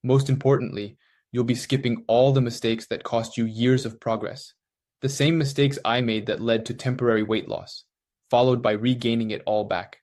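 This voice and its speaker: A man's voice: mid-range voice, steady tone, normal speed, a little mellow. A man hosting the show, reading a scripted promotional intro in direct address to the viewer. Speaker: show host.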